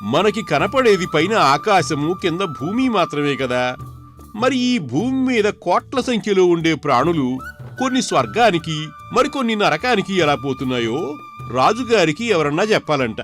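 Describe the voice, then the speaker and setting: A man voicing a worried Brahmin scholar father, explaining things to his son with some exasperation in an animated story. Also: sits high, moderate pace, soft background music. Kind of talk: animated moral story dialogue.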